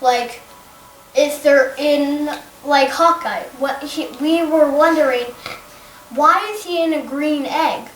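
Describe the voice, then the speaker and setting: A woman's voice: high-pitched, slow, echoey room, a little hiss or hum, rough recording. A young female student at home discussing a story with her teacher, thoughtful and a little hesitant. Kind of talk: casual classroom discussion.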